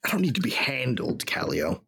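A man acting a grieving, bitter bounty hunter who narrates his memories in a reflective, rough-spoken way for an audio drama. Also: mid-range voice, sing-song, a little fast, sharp tone, dry recording, studio quality, exaggerated voice.

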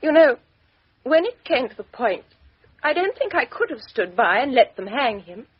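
A proud young woman with a bitter, defiant edge, her voice raised and breathy. The dry sound comes from a dull lo-fi 1950s radio recording. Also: high voice, very animated, moderate pace, no echo, full character voice. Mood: composed. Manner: sharp, defiant, emotional. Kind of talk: 1950s radio drama acting.

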